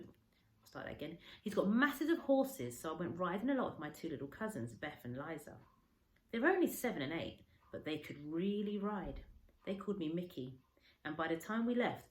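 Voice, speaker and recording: A woman reading a children's story aloud like a teacher, with expressive, varied emphasis. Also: lowish voice. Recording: roomy, echoey indoor sound.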